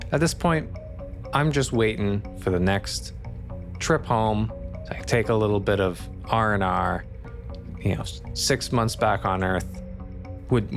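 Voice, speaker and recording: A man playing a weary, annoyed colony engineer in a tabletop game, speaking in a blunt, halting, grudging way. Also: lowish voice, gravelly. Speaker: non-player character in a tabletop game.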